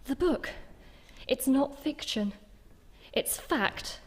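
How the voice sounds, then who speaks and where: A woman's voice: sits high, expressive, extremely slow, breathy edge, exaggerated voice. A woman playing a tense investigator with dramatic delivery in a live horror radio play. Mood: astonished. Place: live in an echoing anatomy theatre.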